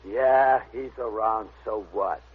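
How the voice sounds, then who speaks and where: On a narrow, tinny vintage radio recording, a dim, sullen police sergeant. He drags his words out slowly in a rough, comically exaggerated voice. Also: on the low side. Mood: surly. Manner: sullen, drawn-out comic delivery. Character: surly, slow-witted male police desk sergeant. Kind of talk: old-time radio drama acting. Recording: muffled, narrow-band old radio recording.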